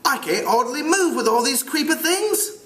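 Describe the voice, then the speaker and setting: Man putting on a high-pitched British schoolboy, anxious and eager. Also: expressive, normal speed, bright, forceful tone, echoey room, heavily acted. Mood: anxious, insistent. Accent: put-on British. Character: plump chatty anxious English schoolboy, eager and insistent.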